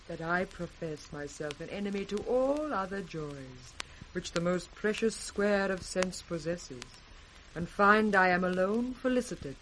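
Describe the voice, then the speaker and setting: An actress playing a haughty, flattering royal daughter with a British accent and measured declamation in radio Shakespeare. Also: female voice, lowish voice, rough recording. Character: king's second daughter, haughty, effusively flattering noblewoman. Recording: faint hiss, old radio recording.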